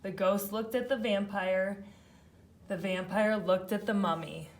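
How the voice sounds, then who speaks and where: Slow-paced woman reading a children's story. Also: mid-range voice, steady tone. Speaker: storybook narrator. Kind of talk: children's read-aloud narration.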